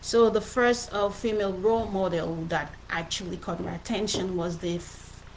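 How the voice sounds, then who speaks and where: A woman's voice: medium pitch, steady tone, moderate pace, a little hiss or hum, rough recording. A middle-aged woman with a Cameroonian accent gives an informal motivational livestream talk as an author, speaking earnestly and emphatically and often repeating phrases. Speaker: author presenting her book.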